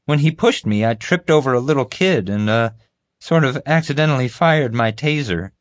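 A man voicing a bumbling, proud security guard in an audiobook, boastful, oblivious and excitable. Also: mid-range voice, normal speed, no echo, studio quality, pitched above the performer's own voice, natural voice. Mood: sheepish. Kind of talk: audiobook character voice.

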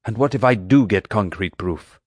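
A man's voice: fairly low pitch, expressive, moderate pace, husky, dry recording, clean studio sound. A man doing an earnest, courteous ranger. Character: earnest, polite male park ranger.

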